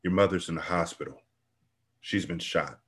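A man voicing a protective bodyguard who breaks hard news in a quiet, firm way. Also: deep voice, normal speed, slightly rough. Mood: serious.

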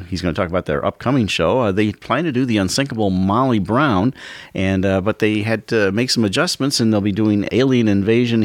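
A man's voice: fairly low pitch, no room sound, clean studio sound. A man co-hosting a weekly public radio arts program, reading station announcements and introducing a guest. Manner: reads announcements, then loose with fillers. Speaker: radio show co-host.

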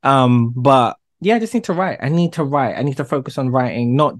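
A man's voice: mid-range voice, expressive, brisk. A man hosting a casual interview chat, with a supportive and encouraging way of talking. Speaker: podcast host, film producer.

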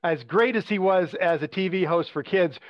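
A man hosting an interview, asking questions in a relaxed, chatty way with plenty of filler words. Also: high voice, moderate pace, no room sound, highs cut off. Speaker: interview host. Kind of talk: conversational interview.

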